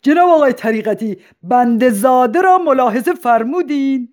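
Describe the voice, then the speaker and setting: A thin man calls out from the audience in a woman storyteller's voice. He is loud and pitched high, with showy, mock-polite formality. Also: expressive. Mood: loud, proud. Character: thin male audience member calling out loudly.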